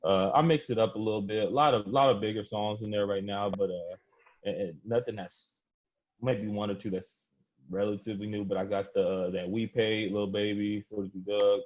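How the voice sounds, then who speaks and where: Casual podcast host: American male, deep-pitched, informal and slangy, muffled lo-fi recording. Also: steady tone, moderate pace, darkish tone. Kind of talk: casual unfiltered round-table chat.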